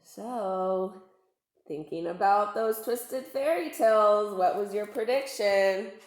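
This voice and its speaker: A woman's voice: medium pitch, slow. A woman reading a storybook aloud to children as a narrator. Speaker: storybook reader, teacher. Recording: echoey room.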